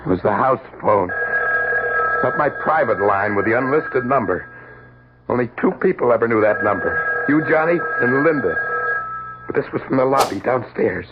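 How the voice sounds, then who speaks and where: A man acts out a small-time crook from an old radio crime drama, paranoid and quick to anger. He talks in hard-boiled slang at a middling pitch. The recording is dull and very noisy. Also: expressive, close to an everyday voice. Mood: brooding.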